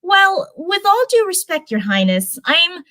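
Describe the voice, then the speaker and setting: A woman's voice: very high voice, sing-song, unhurried, bright, forceful tone, dry recording, studio quality, voice pushed up, heavily acted. A woman voicing a bookish young female unicorn student, earnest and blunt, in a storybook reading. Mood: defensive.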